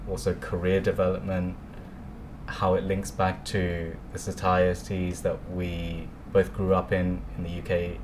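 A man from the United Kingdom hosting a casual podcast chat, friendly and laughing often, with halting restarts. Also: low-pitched, background noise. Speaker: podcast host.

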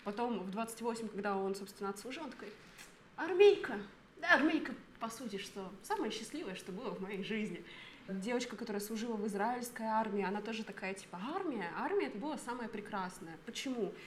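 A woman's voice: fairly high pitch, lively intonation, fast talker, slight background noise. A woman of thirty co-hosting a podcast, explaining her thoughts at length in casual chat. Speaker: podcast co-host.

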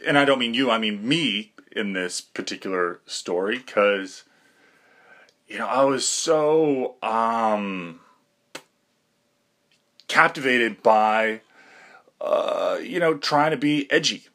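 A man's voice: mid-range voice, lively intonation, takes it slowly, pauses often, fairly bright tone. A young man talking through a rambling, self-deprecating podcast monologue, full of fillers and restarts. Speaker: podcast host.

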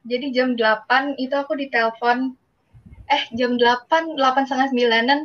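A teenage Indonesian girl shares stories casually as a student being interviewed. Her voice sits fairly high, and the sound is somewhat dull and lo-fi. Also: steady tone, a little fast, fairly bright tone. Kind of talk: casual anecdotal chat. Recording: muffled lo-fi audio.

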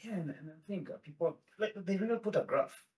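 A man's voice: high voice, fairly quick, a little mellow. A man hosting a podcast who asks loose, hesitant questions full of fillers. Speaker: podcast host. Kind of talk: casual interview. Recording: distant from the mic.

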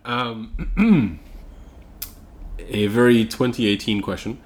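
A man's voice: medium pitch, expressive, slow. A man interviewing someone in an informal, reassuring way, asking questions in a casual chat. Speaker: podcast interviewer.

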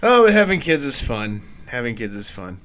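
A man's voice: medium pitch, big pitch swings, moderate pace, fairly bright tone, slight background noise. A man in his thirties hosting a casual podcast chat, telling family stories and laughing often. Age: 30s. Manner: laughs often, tells stories with playful quoted voices. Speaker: podcast host.

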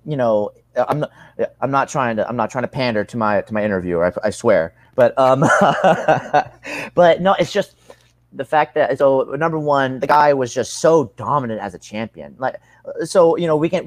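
A man's voice: mid-range voice, dry recording. A young man giving casual interview answers as a combat sports athlete, earnest and rambling, often rephrasing himself.

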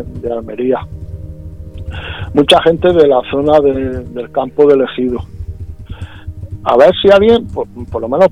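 A man with a Murcian Spanish accent speaking as a regional politician in a radio interview, insistent and often restarting and repeating his points. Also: medium pitch. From Puerto Lumbreras, Murcia region, Spain. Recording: dull lo-fi radio with music behind.

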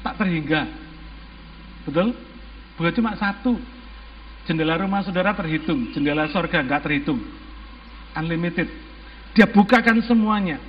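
A man's voice: a little high, moderate pace, long pauses. A man with an Indonesian accent preaching to a congregation as a pastor, exhorting them emphatically with rhetorical questions and some English phrases mixed in. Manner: mixes English phrases into speech. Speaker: church pastor. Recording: muffled, long hall reverb.

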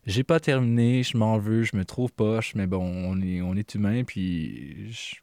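A man with a Québécois French accent, co-hosting a casual podcast chat, hesitant and halting as he tells a story. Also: fairly low pitch, vocal fry, a little mellow, dry recording, studio quality. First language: French, also speaks English. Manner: hesitant, many fillers and restarts, laughs. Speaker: podcast co-host.

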